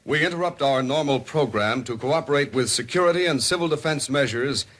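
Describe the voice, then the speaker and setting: A man with an American accent playing a formal 1950s radio station announcer, reading an emergency alert in a measured, impersonal way. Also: medium pitch, fairly bright tone, natural voice. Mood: official, measured.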